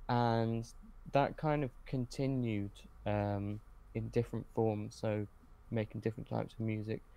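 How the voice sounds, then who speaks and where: A young man talking casually as a podcast guest, looking back in a hesitant, low-key way with pauses mid-sentence. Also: level tone, vocal fry, warm tone, highs cut off. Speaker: podcast guest, script writer. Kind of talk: casual reminiscing in interview.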